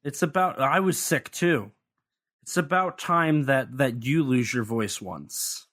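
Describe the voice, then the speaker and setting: A man's voice: mid-range voice, slow, a bit bright. A man with an ordinary mid-range voice, co-hosting a podcast and walking through a film scene by scene. He is chatty and analytical, with dry, lightly sarcastic asides. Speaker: podcast co-host. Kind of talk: casual film discussion.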